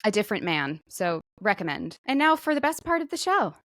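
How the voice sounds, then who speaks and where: A woman's voice: medium pitch, very animated, fairly quick, fairly bright tone, no room sound, clean studio sound. A woman co-hosting a podcast in casual comedic conversation, with a playful, wry way of talking. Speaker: podcast co-host.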